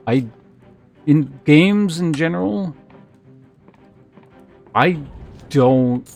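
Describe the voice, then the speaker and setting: Creaky-voiced man streaming a game. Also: medium pitch, darkish tone. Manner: hesitant, thinking aloud with trailing half-sentences. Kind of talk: casual livestream gameplay chat. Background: faint game music.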